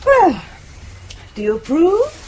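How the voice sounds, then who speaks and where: An actress playing a witty, arch, teasing wife in a radio drama. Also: female voice, very high-pitched, huge pitch swings, slow, darkish tone, slight background noise, exaggerated voice. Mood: delighted. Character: witty, spirited wife, playful and elegant.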